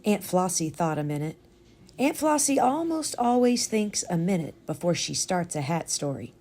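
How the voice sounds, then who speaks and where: A woman reading a children's story aloud in a deliberate, expressive storytelling manner. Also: mid-range voice. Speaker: storytime reader.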